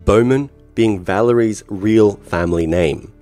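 Low-voiced male voice-over narrator. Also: a little slow, warm tone, soft background music.

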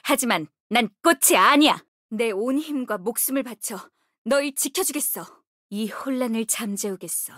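High-pitched, resolute healer warrior. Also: female voice, lively intonation, normal speed, fairly bright tone, dry recording, studio quality. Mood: solemn, resolute. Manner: solemn, commanding, short crisp delivery. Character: resolute, composed young woman healer and battle guardian. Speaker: game character voice actor. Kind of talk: video game character voice lines.

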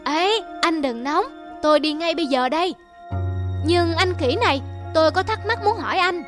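A woman's voice: very high-pitched, animated, normal speed. A woman voicing a sly, polite parrot in a children's cartoon, speaking in a coaxing way. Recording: music behind the voice.

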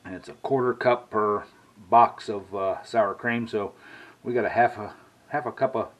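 A man's voice: lowish voice, steady tone, moderate pace, lo-fi sound, noisy background. A middle-aged man, rambling in a folksy way as he cooks and talks in his home kitchen. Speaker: cooking vlogger. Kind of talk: casual cooking vlog.

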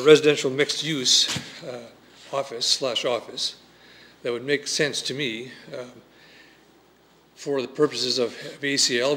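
Guy objecting at a council meeting, lots of ums and restarts, clears his throat, faint hiss. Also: mid-range voice, fairly bright tone. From Canada. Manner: halting, full of fillers and restarts, clears throat. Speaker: resident objecting at a council hearing. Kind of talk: unscripted public comment. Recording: faint noise, council chamber mic.

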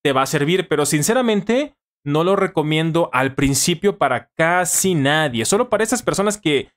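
Male streamer, 30s, Mexican accent, voice a little high, informal tutor chatting with viewers on a close studio mic. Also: lively intonation, moderate pace. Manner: informal, conversational, repeats phrases for emphasis. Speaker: live-stream host, language-learning tutor. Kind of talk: casual live chat answering viewer questions. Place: small home studio room, indoors. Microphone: studio microphone on boom arm, close.

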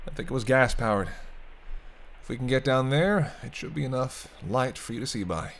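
A man's voice: mid-range voice, normal speed, natural voice. A man playing a sardonic but protective vampire in an intimate roleplay audio drama, bantering and speaking straight to the listener. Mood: gentle, guiding. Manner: bantering, wry, murmured asides. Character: teasing, sardonic male vampire, centuries-weary but protective.

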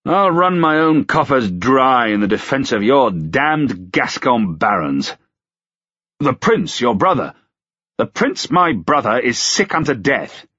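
A man's voice: mid-range voice, big pitch swings, moderate pace, fairly bright tone, husky, dry recording, muffled recording, voice pushed up. A man voicing an imperious, suspicious medieval duke with archaic, commanding, sardonic speech in an audiobook. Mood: angry, sneering. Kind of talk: dramatised audiobook dialogue.

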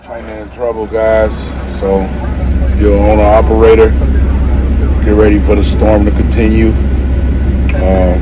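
Blunt trucker, lo-fi, loud traffic behind. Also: male voice, on the low side. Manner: blunt, profane, informal. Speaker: truck driver giving advice. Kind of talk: casual vlog commentary. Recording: dull lo-fi sound with loud traffic noise.

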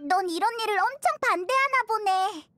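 Anime game fairy sidekick: piercingly bright, childlike, curious and naive, close dry recording. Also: female voice, normal speed, no echo. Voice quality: squeaky, childlike. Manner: expressive, naive, exclamatory. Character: small childlike fairy companion, curious, excitable, earnest.

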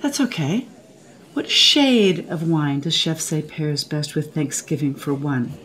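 A woman's voice: low-pitched, a little slow, a bit bright, poor audio. The older woman alone at a restaurant table in a radio comedy, answering the staff in clipped, prickly, sardonic remarks. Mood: sardonic, curt. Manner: dry, curt, sarcastic. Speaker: actress playing a diner. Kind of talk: radio play comedy acting.

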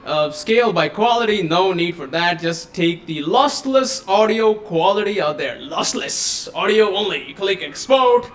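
A man hosting a casual live-stream tech tutorial at his home desk on a studio microphone, chatty and given to excited exclamations. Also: sits high, unhurried, hardly any pauses, faint music behind. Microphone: USB studio microphone.

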